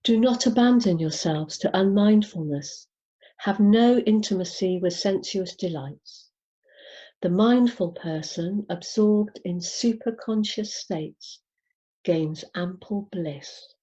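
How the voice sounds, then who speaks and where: A woman reading scripture aloud in a measured, deliberate way, pausing between short phrases. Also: medium pitch, warm tone. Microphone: video call over Zoom. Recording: dull, lo-fi video call.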